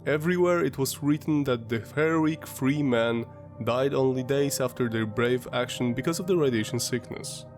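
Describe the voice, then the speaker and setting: Brief: male host, stuffy sinus-congested voice, mid-pitched, measured documentary read, faint music underneath. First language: Polish. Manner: measured, explanatory storytelling. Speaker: history channel host. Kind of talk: scripted documentary narration.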